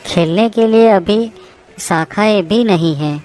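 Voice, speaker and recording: A woman voicing a gentle, self-sacrificing mango tree in a children's cartoon story, affectionate and apologetic. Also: mid-range voice, takes it slowly, natural voice. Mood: sorrowful, apologetic.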